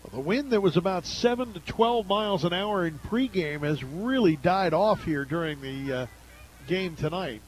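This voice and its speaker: An American man doing live radio play-by-play commentary of a high school football game. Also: lively intonation, normal speed, poor audio. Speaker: play-by-play sports announcer.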